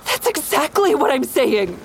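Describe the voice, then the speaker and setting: A woman's voice: high-pitched, big pitch swings, measured pace, noisy background, heavily acted. An actress playing a defiant, distraught young woman who narrates her own story in an audio drama. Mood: anxious pleading. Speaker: narrator and lead character.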